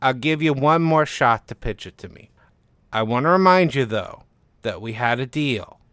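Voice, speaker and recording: A man voicing a frustrated literary agent in an audio story, measured and persuasive, with firm, worn patience. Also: medium pitch, fairly bright tone, smooth, no room sound, lightly acted.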